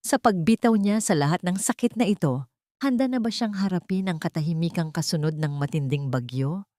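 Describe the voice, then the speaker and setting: Lady reading a dramatic love story, kinda low voice, solemn and deliberate, super dry recording. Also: darkish tone, no echo. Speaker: narrator of audio drama love stories. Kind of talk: dramatic scripted story narration.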